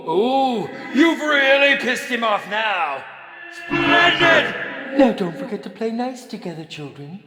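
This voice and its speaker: An actor playing a theatrical, impatient and sinister film director in a video game, with a hammy, bossy, sarcastic manner. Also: male voice, high voice, full character voice. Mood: gleeful, menacing. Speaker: game villain and announcer. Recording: echoey hall reverb with music.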